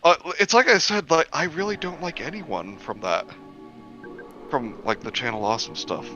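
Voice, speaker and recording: A man's voice: on the high side, normal speed, bright, forceful tone, soft background music. A man in a casual conversation, heard over dull, lo-fi audio. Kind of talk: casual chat.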